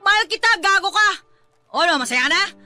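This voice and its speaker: A very high, piercingly bright Filipino voice playing a tough young tomboy mother in a radio romance. She is brash, blunt and defiant, with feelings running close to the surface. Music plays underneath. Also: expressive, fairly quick, no room sound, heavily acted. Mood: tearful confession. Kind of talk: Filipino radio-style romance drama acting.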